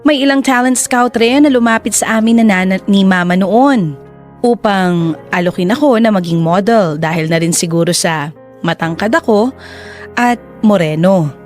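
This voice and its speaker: A man's voice: high-pitched, normal speed, faint music behind, natural voice. A man playing a reflective twenty-eight-year-old man who narrates his love story in a Tagalog radio drama. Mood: reflective, confessional.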